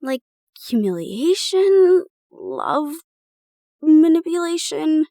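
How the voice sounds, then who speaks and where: A young woman voices a protective roommate who scolds and comforts in turn. Her voice sits a little high. It is recorded close and bone dry. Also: sing-song, no echo, exaggerated voice. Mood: chiding chat. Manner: brisk and bossy, then soft and soothing. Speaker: caring roommate. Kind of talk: improvised ASMR roleplay.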